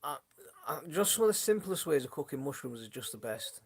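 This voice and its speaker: Brief: male stream host, mid pitch with a gravelly fry, voice going hoarse, chatty and rambling with viewers. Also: sing-song, fairly quick. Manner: rambling, many restarts, chatty and friendly toward viewers. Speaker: gardening channel host. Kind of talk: casual live-stream chat answering viewers. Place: his home, indoors. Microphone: phone.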